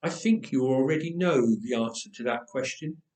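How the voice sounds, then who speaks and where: A man voicing a mighty, mysterious divine stranger in a story, solemn, calm and commanding, in an echoey church. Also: mid-range voice, moderate pace, voice pushed down, natural voice. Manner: solemn, declaratory.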